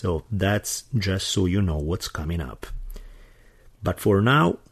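A man with an Italian accent hosting a podcast and chatting in a relaxed, expressive way. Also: low-pitched, slow pace, husky. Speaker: podcast host. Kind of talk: casual podcast chat.

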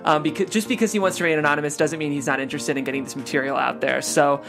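A woman's voice: low voice, steady tone, very fast, music in the background. A woman hosting a casual panel discussion, chatty and loose with many fillers. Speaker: host.

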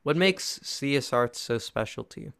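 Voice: mid-range voice, animated, moderate pace, creaky, a bit bright. A podcast host asking questions and signing off with brief, easygoing remarks in a casual chat.

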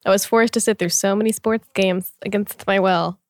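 A woman's voice: mid-range voice, steady tone, moderate pace, no room sound. A young woman co-hosting a podcast, chatting casually, laughing easily and answering with dry comebacks. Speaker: podcast co-host. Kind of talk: casual chat.

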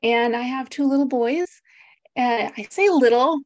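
A woman's voice: high voice, unhurried, darkish tone, no room sound. Chatty American woman on a dry call. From United States. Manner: chatty, upbeat, with frequent "like" and "right?". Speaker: guest. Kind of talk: podcast interview.

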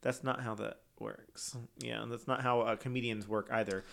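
A man co-hosting a casual review chat, blunt and animated, swearing freely and slipping into mocking quoted voices. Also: mid-range voice, normal speed, creaky. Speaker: podcast co-host reviewing a TV show.

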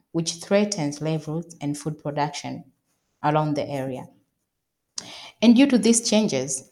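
A woman's voice: lowish voice, mellow. A woman moderating a panel, asking formal and polite questions. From Tanzania.

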